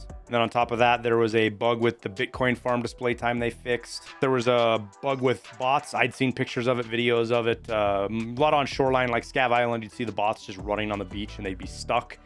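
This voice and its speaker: A man delivers casual video game news with a mid-pitched voice. He explains things informally, as if chatting to regular viewers. Music plays underneath his commentary. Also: fairly bright tone. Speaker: gaming news host. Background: background music.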